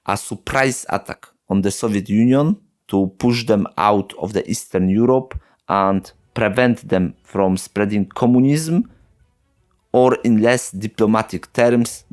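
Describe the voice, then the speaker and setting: A man's voice: fairly low pitch, darkish tone. A man hosting a history video, English not his first language, narrating with wry, sarcastic asides. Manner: slow, wry, sarcastic, with short chuckles. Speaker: history channel host.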